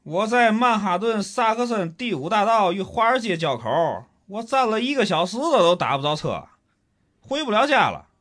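Male actor as pushy stranded commuter: Tianjin accent, high lively voice, complaining and threatening, comic radio play. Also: moderate pace. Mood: whiny, insistent. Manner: complaining, insistent, colloquial. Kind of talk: comic radio drama acting.

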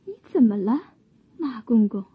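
A woman acting a young, wronged imperial consort in an audio drama, bitter, proud and defiant, with breathy, drawn-out tragic delivery. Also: a little high, expressive, narrow, tinny audio, full character voice. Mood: shocked, bitter.

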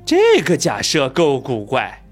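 A man's voice: medium pitch, wildly swooping pitch, slow pace, soft background music, heavily acted. A man from Shandong voicing a curious, skeptical young male reporter in an amateur radio drama, asking probing questions. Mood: questioning. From Shandong, China.

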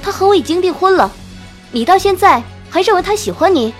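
Haughty young heiress, played by a woman narrator: high, sharp, accusing audiobook villainess with a very animated delivery. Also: moderate pace, soft background music. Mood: angry. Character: haughty, jealous young rich woman, easily enraged.

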